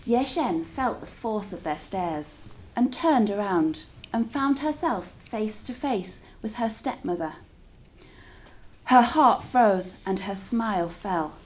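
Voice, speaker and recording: A woman reading a story aloud to children as a teacher, in an expressive, deliberate storytelling style with drawn-out words. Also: mid-range voice, poor audio.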